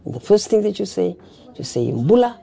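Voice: mid-range voice, sing-song, brisk, gravelly, mellow, breathy edge. A speaker with a Fijian accent explaining things in an interview, emphatic and checking in with short tag questions. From Fiji. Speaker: craft society representative.

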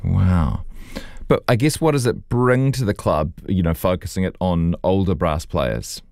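A man's voice: low-pitched, expressive, unhurried, gravelly, a little mellow, dry recording. Brief: New Zealand man, radio host, chatty and upbeat, dry close studio mic. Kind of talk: radio chat show interview. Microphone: studio microphone.

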